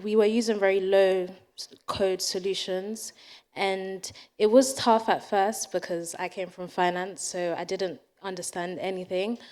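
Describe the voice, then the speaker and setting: A woman's voice: mid-range voice, a little mellow. A young woman university student giving an explanatory talk to an audience, with small restarts. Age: young adult.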